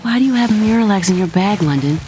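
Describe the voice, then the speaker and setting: A woman's voice: medium pitch, expressive, quick pace, mellow, lightly acted. An actress playing a fussing, blunt, doting middle-aged mother in a scripted audio drama. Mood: fussing, concerned. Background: music.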